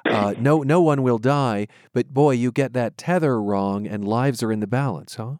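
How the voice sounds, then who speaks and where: A man's voice: mid-range voice, moderate pace, darkish tone, dry recording, studio quality. A man with an American accent hosting a radio program, moving between scripted narration and interview questions.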